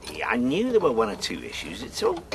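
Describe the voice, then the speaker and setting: An actor playing a cheerful, mild older husband in a radio drama, optimistic and slightly pedantic. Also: male voice, medium pitch, huge pitch swings, fast talker, vocal fry, a little hiss or hum. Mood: mildly optimistic. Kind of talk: naturalistic radio drama acting.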